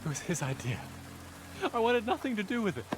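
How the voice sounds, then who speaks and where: High-voiced, pleading bandit underling. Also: male voice, very animated, fairly quick, music in the background. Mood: pleading, defensive. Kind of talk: dramatic video game acting.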